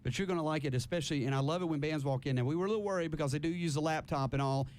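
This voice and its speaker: A man with a slightly high voice hosts a live radio interview in a studio. He is chatty and casual, circling back over his points in a rambling way. A faint rumble of noise sits behind him. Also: racing, a little mellow. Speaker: radio host interviewing a band.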